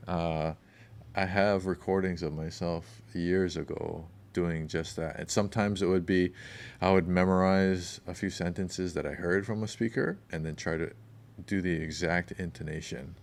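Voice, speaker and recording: A man's voice: low voice, fairly even intonation, moderate pace. A man co-hosting a podcast, chatting casually and telling long, rambling stories that sometimes lose their thread. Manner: rambling, storytelling, loses his thread. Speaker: podcast co-host.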